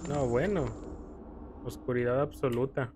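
A man's voice: medium pitch, a little slow, background noise. A man casually commenting while he plays a video game, thinking aloud and using lots of diminutives. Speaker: gaming YouTuber. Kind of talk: casual let's play commentary. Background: game music.

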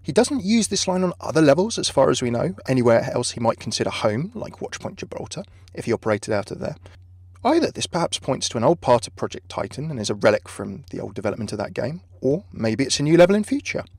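A man's voice: medium pitch, big pitch swings, fast, creaky, slightly rough, no room sound. A man hosting a video with scripted narration, recorded as a close voice-over. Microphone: close dry voice-over microphone.

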